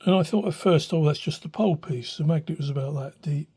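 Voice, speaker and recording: An older man with a husky voice talks through a hobby demonstration. His delivery is unscripted and thoughtful, with hesitations as he works out what he wants to say. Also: fairly high pitch, fast talker, mellow. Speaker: hobbyist radio restorer. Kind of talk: informal spoken demonstration, unscripted. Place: small cluttered room indoors. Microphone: handheld phone behind the camera.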